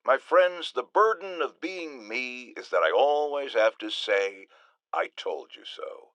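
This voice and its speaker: An actor playing a cranky, self-important middle-aged New talk-radio host in a video game, gravelly and bombastic, ranting theatrically with great indignation. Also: male voice, high-pitched, sharp tone, no room sound, heavily acted. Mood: smug weariness.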